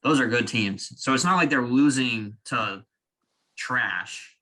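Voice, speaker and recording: Young guy on a sports podcast, bright punchy voice, jokey and fired up. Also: mid-range voice. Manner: animated, joking, quick opinions. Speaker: podcast co-host. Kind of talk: casual sports chat.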